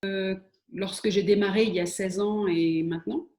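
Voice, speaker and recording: A woman's voice: medium pitch, steady tone, normal speed, dark tone. A middle-aged woman answering interview questions about her career, speaking with frequent hesitations, fillers and restarts. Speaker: interview guest, spa and wellness expert. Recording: echoey room.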